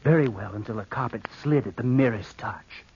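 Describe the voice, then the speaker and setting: An actor playing a coldly scheming, murderous nephew in an old-time radio drama, speaking with careful deliberation. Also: male voice, medium pitch, close to an everyday voice. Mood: sinister, careful. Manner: slow, deliberate, hushed narration and hesitant dialogue. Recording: muffled vintage radio recording with faint noise.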